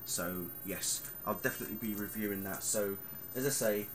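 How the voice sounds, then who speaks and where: A man's voice: on the low side, moderate pace. A man, chatting casually and rambling on a vlog with plenty of fillers. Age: 30s. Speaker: vlogger. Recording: clear background noise.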